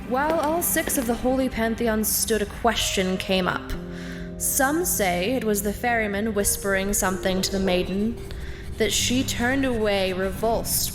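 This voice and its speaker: A woman playing a scholar who reads fantasy lore aloud in a measured, solemn storybook manner for an audio drama. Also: mid-range voice, close to an everyday voice. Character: female scholar reading aloud from ancient tomes. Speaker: voice actor reading lore. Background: music.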